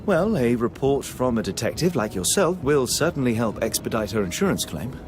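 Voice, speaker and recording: A man plays an earnest, proper gentleman in a mystery game, with a lively mid-pitched voice. He is polite and easily flustered, and music is clearly heard behind him. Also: fairly quick, close to an everyday voice. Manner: earnest, polite, hesitant under questioning. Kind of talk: video game voice acting.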